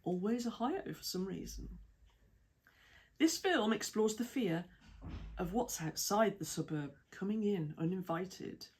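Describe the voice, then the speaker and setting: A woman with a British accent reading an article aloud in a measured, even way, with lists of titles and dates. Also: mid-range voice, breathy edge. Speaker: reader of an article. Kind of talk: read-aloud essay narration.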